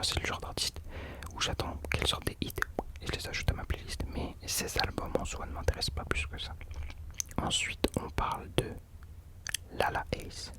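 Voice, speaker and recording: A man speaks entirely in a soft, breathy whisper, in the style of an ASMR host. His delivery is casual and chatty commentary, with hesitations and self-deprecating corrections along the way.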